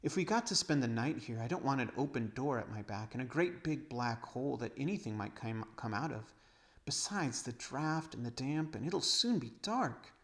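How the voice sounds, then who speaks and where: A man's voice: mid-range voice, animated, moderate pace, fairly bright tone. A man voicing a cautious, worried schoolgirl in a storybook.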